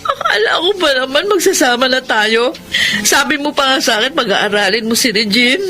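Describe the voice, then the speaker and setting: A woman's voice: high voice, lively intonation, normal speed, music in the background. An actress playing a lovelorn, easily hurt single mother in a Filipino radio drama. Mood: hurt, shocked.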